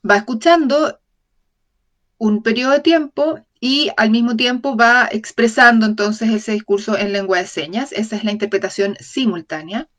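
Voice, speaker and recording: Measured, self-correcting woman lecturer. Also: on the high side, fairly bright tone. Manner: measured, with frequent self-corrections and tag questions to the audience. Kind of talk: voiced-over lecture in an open talk series.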